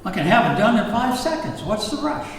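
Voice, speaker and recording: A man's voice: high voice, sing-song, a little fast, a little hiss or hum. A man playing an eager, prying company boss at a drama table read, recorded at a distance in an echoey room. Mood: puzzled, pressing.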